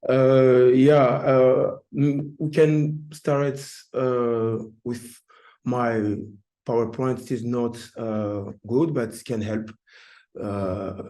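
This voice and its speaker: A man from Congo with a Congolese French accent, an artist presenting in an informal webinar, speaking haltingly and searching for words in imperfect English. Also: mid-range voice, dark tone. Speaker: artist presenting their work.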